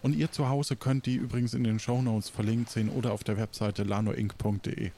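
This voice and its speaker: A man hosting an improvised audio play as game master, halting and often ending on tag questions. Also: fairly low pitch, level tone, dark tone, slight background noise.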